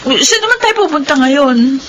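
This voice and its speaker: A woman's voice: sits high, very animated, moderate pace, background noise. A woman with a Filipino accent acting a coy, slightly whiny teenage girlfriend in a radio drama.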